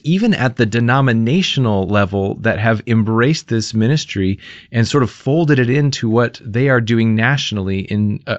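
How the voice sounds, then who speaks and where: A man hosting an interview, speaking in a measured way with frequent fillers. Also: mid-range voice, no echo. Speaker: interview host. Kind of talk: conversational interview. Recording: dry, slightly dull lo-fi audio.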